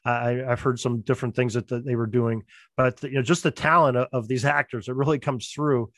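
Man, medium pitch, close dry sound, casual interview guest, podcast producer. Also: steady tone, a little fast, a bit bright, no room sound.

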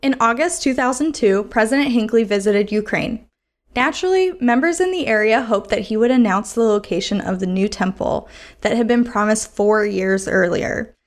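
A woman's voice: on the high side, moderate pace. A woman hosting a podcast, reading a polished script. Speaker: podcast host.

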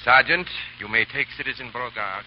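A man's voice: mid-range voice, a little fast, lightly acted. Casting: male actor as sardonic, commanding revolutionary villain, 1930s radio drama, muffled recording with some hiss. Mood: coolly mocking. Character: menacing, coolly mocking French revolutionary agent villain.

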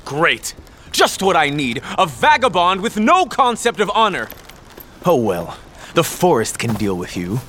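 A man's voice: on the high side, huge pitch swings, a little slow, fairly bright tone, a little hiss or hum, full character voice. A man playing a proud, suspicious young prince in a fiction podcast, pompous, curt and commanding, speaking formally. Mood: scornful.

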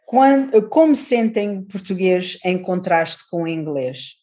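Low-voiced woman reading a talk. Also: lively intonation, mellow. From Azores, raised in California. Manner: measured, reflective, bilingual delivery. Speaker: conference panel speaker. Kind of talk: reading a prepared paper. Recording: dull lo-fi audio.

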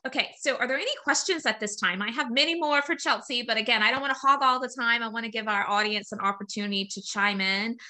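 A woman's voice: on the high side, quick pace, few pauses. A woman hosting a webinar who politely invites the audience to ask questions. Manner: polite, inviting, steady prompts. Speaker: host and moderator. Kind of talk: moderating a webinar Q&A.

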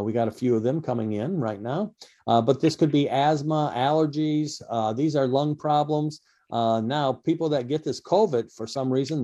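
A man's voice: mid-range voice, dark tone, highs cut off. A man co-hosting a casual radio health talk show, rambling with lots of fillers and restarts. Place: radio studio.

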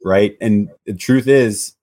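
A man with a loud voice speaking as a podcast guest, relaxed and hesitant, full of "you know"s. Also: fairly low pitch, slow pace. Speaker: guest, loan officer. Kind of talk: casual interview chat.

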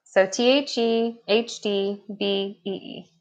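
A woman with a slightly raspy voice, a podcast guest speaking bluntly and casually with lots of "like.". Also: mid-range voice, steady tone, slow pace, muffled recording. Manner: blunt, fervent, peppered with "like". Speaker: podcast guest, human design teacher. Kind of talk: casual conversation.

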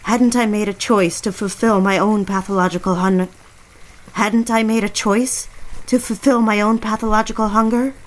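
A woman's voice: medium pitch, steady tone, moderate pace, natural voice, poor audio. Woman acting an anxious, hesitant young wife. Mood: brooding, confessional. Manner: hesitant, pleading, repeats herself. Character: anxious, submissive young wife recalling a troubled marriage. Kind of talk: dramatic audio fiction, dialogue and confessional narration. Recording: music behind the voice.

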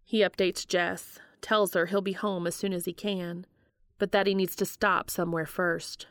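A woman's voice: on the low side, little pitch movement, normal speed, smooth, no echo, clean studio sound. A woman reading scripted fiction aloud as an audiobook narrator. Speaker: fan fiction audiobook reader.

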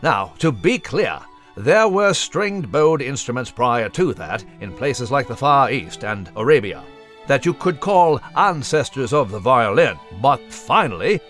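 Pompous cartoon newsreader, fussy and wordy, highly animated pitch, music underneath. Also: male voice, unhurried. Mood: pompous, mock-solemn. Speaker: news segment presenter. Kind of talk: mock news commentary.

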